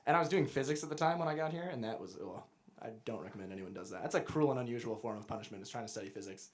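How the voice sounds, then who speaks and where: A young man from Montana, a guest musician in a casual interview, joking about himself in a dry, deadpan way. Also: mid-range voice, racing. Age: young adult. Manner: dry, self-mocking deadpan humor.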